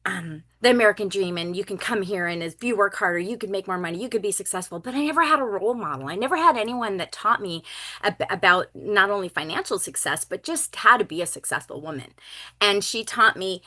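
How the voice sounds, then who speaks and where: A woman's voice: medium pitch, animated, a little fast, pushed and bright. A woman in her fifties, born in Finland, hosting a video call and introducing a guest with emphatic, gushing enthusiasm. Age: 50s. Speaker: call host.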